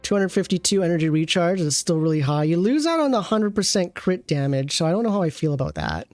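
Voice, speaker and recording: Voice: mid-range voice, big pitch swings, quick pace, darkish tone, no echo, faint music behind. A game streamer reviewing an account with casual commentary, thinking aloud and often trailing off mid-thought.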